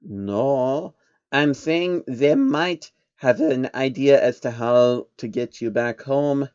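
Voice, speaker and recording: A man's voice: medium pitch, takes it slowly, darkish tone, clear, resonant tone, no room sound, dull audio, close to an everyday voice. A man playing a sly male baron of a secret society in a breezy, teasing manner. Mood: matter-of-fact.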